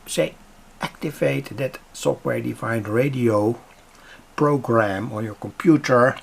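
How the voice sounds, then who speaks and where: A hobbyist giving an unscripted demo at home, explaining piece by piece in a halting way with frequent pauses. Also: mid-range voice, gravelly. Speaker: hobbyist demonstrator. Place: home, indoors near a kitchen. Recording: noisy room with radio static. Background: radio noise.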